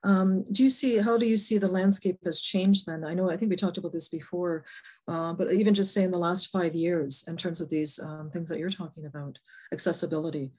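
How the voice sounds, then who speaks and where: Husky-voiced woman moderator. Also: lowish voice, fairly even intonation, brisk, a little mellow. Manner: polite, hesitant questioning. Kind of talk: moderating a panel. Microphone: video call.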